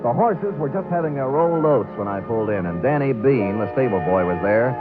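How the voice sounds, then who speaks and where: A man plays a wry, unflappable private eye in an old radio crime drama. He talks quickly and drily, like nothing can faze him. The recording is muffled vintage radio with music underneath. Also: medium pitch, close to an everyday voice. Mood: cool, wry. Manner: wry, laconic, deadpan. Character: wry, hard-boiled male private detective, sardonic, unflappable. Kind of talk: 1940s-50s radio detective drama narration and dialogue.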